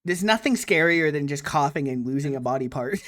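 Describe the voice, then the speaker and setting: A woman's voice: sits low, expressive, fairly quick, fairly bright tone. A woman hosting a late-night live stream, chatting casually and playfully, laughing often, with a slightly nasal voice. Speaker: streamer host.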